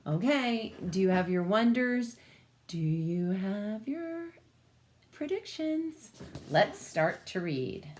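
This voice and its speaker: A woman teacher reading a picture book aloud to children, expressive and often putting questions to her listeners. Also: medium pitch, slow, a little hiss or hum.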